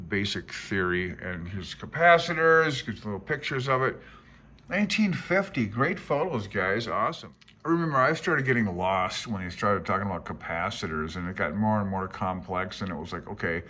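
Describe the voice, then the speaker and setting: A man's voice: fairly low pitch, sharp tone. A man in his sixties giving casual, rambling commentary as he pages through a book, laid-back and reminiscing as he thinks aloud. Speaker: amateur radio hobbyist presenting a handbook.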